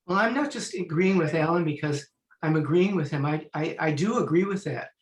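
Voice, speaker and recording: A man in his sixties, a Feldenkrais teacher and musician, chatting in an online panel, telling stories and clearing his throat now and then. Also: on the high side, a little fast, echoey room. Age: 60s. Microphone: video call.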